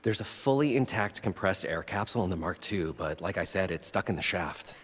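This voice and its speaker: An eccentric inventor with a husky voice that swings widely in pitch. He is proud, showy and eager, a folksy game character heard in long reverb. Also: expressive, a little fast, fairly bright tone, exaggerated voice. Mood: friendly, brisk. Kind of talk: video game cutscene acting.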